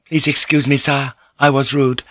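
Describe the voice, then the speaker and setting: A man's voice: medium pitch, flat delivery, normal speed, a bit bright, no echo, clean studio sound, voice pushed up, close to an everyday voice. A man voicing a young noblewoman who speaks politely, earnestly and deferentially. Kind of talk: audiobook character voice.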